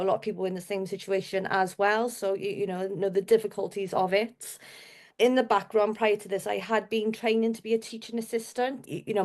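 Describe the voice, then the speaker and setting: Welsh woman on a podcast, mid pitch, chatting and rambling through her own story. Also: darkish tone. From Wales. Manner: chatty, rambling, repeats herself. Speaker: podcast guest, business owner. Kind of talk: personal storytelling in an interview.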